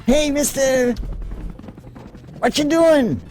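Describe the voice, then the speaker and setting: A man voicing a cheeky, excitable young boy in an amateur cartoon-style comic dub. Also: extremely high pitch, very slow, faint music behind, voice pushed up, exaggerated voice. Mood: cheeky, casual.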